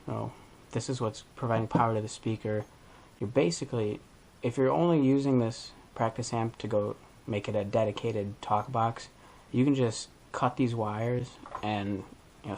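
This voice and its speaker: A man's voice: lowish voice, darkish tone, slight background noise. A man hosting a DIY video, talking through a hands-on tutorial in a casual, informal way as he thinks aloud.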